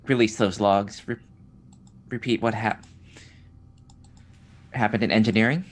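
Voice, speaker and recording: A woman playing a tabletop roleplaying game, giving terse, halting commands in character. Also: rumbling low, steady tone.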